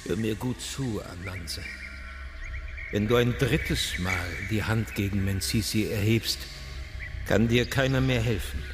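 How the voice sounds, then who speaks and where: An actor playing a solemn village seer who gives stern, deliberate warnings in a radio drama. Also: male voice, on the low side, close to an everyday voice. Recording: music playing behind the voice.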